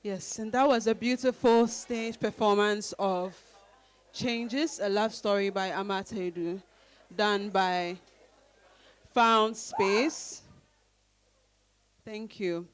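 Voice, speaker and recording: A woman's voice: medium pitch, steady tone, slow, pauses often, clean tone. A woman hosting an event, making a closing announcement to an audience. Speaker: event host.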